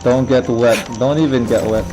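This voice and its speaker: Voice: medium pitch, fairly even intonation, moderate pace, poor audio. A live streamer of indeterminate sex giving casual commentary while playing a video game. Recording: game music behind the voice.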